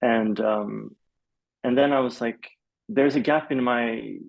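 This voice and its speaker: Male podcast guest, fry-heavy voice, loose and halting conversational delivery, thinking aloud as he tells his story. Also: medium pitch, fairly even intonation, poor audio. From Malaysia. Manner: rambling, hesitant, peppered with "like" and "you know". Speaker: podcast guest, film composer. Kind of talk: casual interview storytelling.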